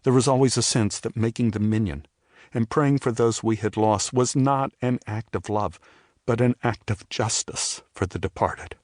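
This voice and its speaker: An older man giving a scripted, reflective first-person narration for an audio drama, in a measured storytelling manner. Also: lowish voice, darkish tone, no room sound, studio quality. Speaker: narrator and playwright telling his own story.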